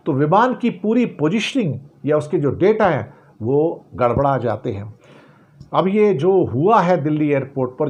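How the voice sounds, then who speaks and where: A man's voice: fairly high pitch, darkish tone. A man giving explanatory news commentary to the viewer in an emphatic way, posing rhetorical questions and repeating key phrases.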